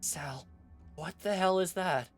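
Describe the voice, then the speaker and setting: A man doing a shrill panicked young woman. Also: slow pace, faint music behind. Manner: shrill, frantic shouting. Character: panicked young woman, the hero's twin sister.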